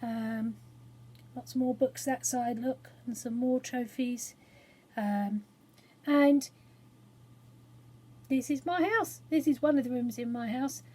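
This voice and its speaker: Husky, higher-pitched English woman reading for children. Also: fairly even intonation, slow pace, long pauses, a little mellow. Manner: chatty, self-deprecating, explains things simply for children. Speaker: storyteller reading aloud to children. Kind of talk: informal chat and storybook reading for children. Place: small spare room study at home.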